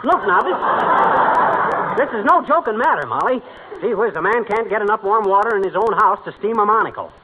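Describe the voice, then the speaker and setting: A grumbling, pompous American husband in an old radio comedy. His voice is fairly high and swings widely as he blusters like a know-it-all. The broadcast sounds muffled, with clear background noise. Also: lively intonation, a little fast. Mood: exasperated. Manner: indignant, pompous, mangles big words. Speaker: lead comedy actor in a radio sitcom. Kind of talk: 1940s radio comedy acting.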